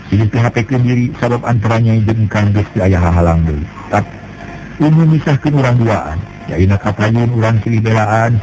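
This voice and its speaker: Man doing a gentle young husband, soothing, earnest, low-pitched, background music. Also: natural voice, poor audio. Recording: music playing behind.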